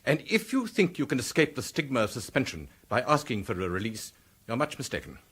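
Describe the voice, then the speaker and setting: A stern, husky police chief. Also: male voice, medium pitch, big pitch swings, a little fast, fairly bright tone. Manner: cold, clipped and imperious. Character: stern senior male police chief, haughty. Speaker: police commissioner figure. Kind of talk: radio drama acting.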